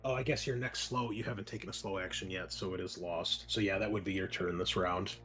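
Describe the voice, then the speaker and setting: A man's voice: low-pitched, steady tone, brisk, over loud music. A man running a tabletop horror roleplaying game as game master, talking conversationally and working through rules with fillers.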